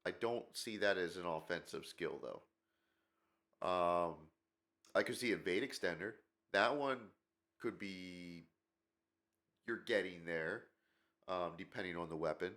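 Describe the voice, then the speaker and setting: Stuffy-nosed man with a low voice. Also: a little slow, long pauses, fairly bright tone. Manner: rambling, many fillers, opinionated. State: stuffy nose from a cold. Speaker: gaming channel host. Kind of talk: casual commentary video.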